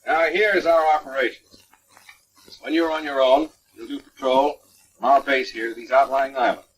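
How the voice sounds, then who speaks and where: An actor playing a seasoned, dry, authoritative American officer instructing in a 1940s military training film. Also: male voice, mid-range voice, fairly even intonation, normal speed, close to an everyday voice. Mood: brisk, instructive. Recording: noisy old 1940s film soundtrack.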